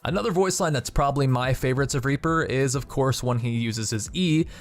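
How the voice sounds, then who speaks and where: A man's voice: mid-range voice, a little fast. A man hosting a video game channel, reading scripted narration. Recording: background music under voice. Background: music.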